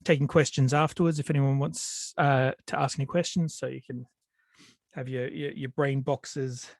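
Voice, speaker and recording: A man's voice: mid-range voice, fairly even intonation, normal speed, gravelly, dry recording, studio quality. A man with a head cold, giving a storytelling talk over a video call, with lots of fillers and restarts. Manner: hesitant, many fillers and restarts. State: sniffly with a cold.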